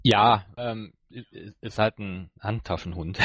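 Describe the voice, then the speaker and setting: A man with a slightly low voice co-hosts a podcast. He explains and reviews in a casual, chatty way, recorded dry with no room sound. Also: measured pace, pushed and bright, no room sound. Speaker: podcast co-host.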